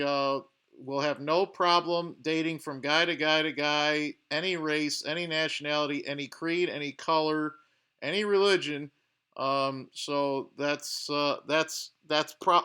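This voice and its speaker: Guy doing a reaction vid, bright clear voice, blunt and judgy, lots of uhs. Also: a little high. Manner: blunt, judgmental commentary with frequent uh fillers. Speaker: reaction video host. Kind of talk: commenting over paused clips.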